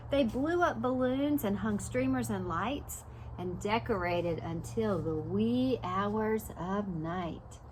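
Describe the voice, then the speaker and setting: A woman's voice: medium pitch, slight background noise, low-quality recording. A woman preschool teacher reading a storybook aloud and adding simple explanations and questions for young children.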